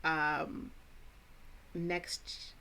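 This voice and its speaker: Slow, deliberate American woman teaching. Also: deep voice, level tone, sharp tone. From American, Chicago suburbs. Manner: clear, deliberate teacher delivery with playful asides. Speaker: English teacher, channel host. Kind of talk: scripted educational vlog.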